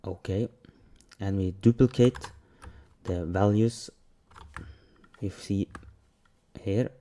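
A man hosting a software tutorial, talking through each step plainly with pauses over the sound of clicks and typing. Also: low voice, a little hiss or hum. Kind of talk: screen-recorded software tutorial.

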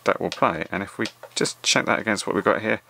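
A man's voice: fairly low pitch, quick pace, gravelly, pushed and bright. A middle-aged man narrating a casual tutorial in an informal, explanatory, conversational way. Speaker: host of an RC radio tutorial.

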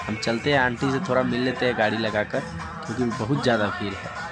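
A man hosting a street vlog, excitedly showing viewers an outdoor victory rally from a camera he carries. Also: medium pitch, fast. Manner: excited, hyping the crowd to viewers. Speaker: vlogger. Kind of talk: live street vlog commentary. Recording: loud DJ music behind the voice.

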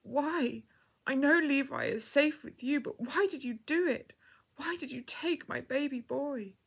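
A woman's voice: a little high, fairly quick, husky, voice pushed up. A woman voicing a compassionate, devout mother in a story, earnest, urgent and emotional. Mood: anguished.

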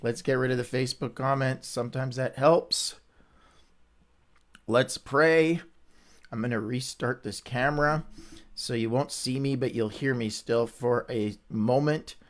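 A man's voice: medium pitch, fairly even intonation, unhurried. A man hosting a casual livestream Bible reading, chatty and upbeat, greeting viewers by name, with a runny, stuffy nose.

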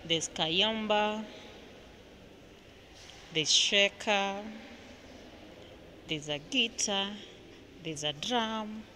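A woman's voice: medium pitch, extremely slow, pauses often, bright, forceful tone, a little hiss or hum. A young Kenyan woman vlogging a museum tour, chatting casually as she points out exhibits on a handheld phone. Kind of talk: casual walking tour commentary. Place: indoor museum halls. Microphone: handheld phone camera.

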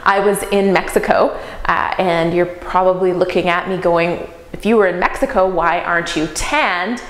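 A woman's voice: lowish voice, steady tone, moderate pace. A woman in her thirties, talking to camera in a casual, upbeat vlog, standing in an empty bedroom. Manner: upbeat, chatty, practical. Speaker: real estate investor vlogger. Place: empty bare-walled bedroom indoors. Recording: echoey empty room.

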